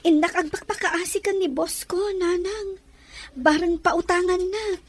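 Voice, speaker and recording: A woman's voice: very high voice, steady tone, a little slow. A woman with an Ilocano accent acting a dutiful, worried young student in a radio drama. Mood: earnest, pleading.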